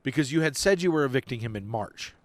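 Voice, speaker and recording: A young man co-hosting a casual podcast, chatty and quick to laugh, recorded outdoors on a porch. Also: mid-range voice, lively intonation, no room sound. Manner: chatty, laughs often, talks over his co-host. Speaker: podcast co-host. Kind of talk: casual chat.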